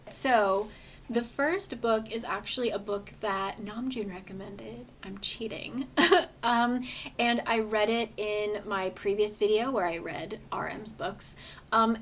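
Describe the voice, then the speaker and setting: Hoarse woman rambling about books, earnest and chatty, mid pitch, bit of faint noise. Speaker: book vlogger. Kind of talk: casual book review chat.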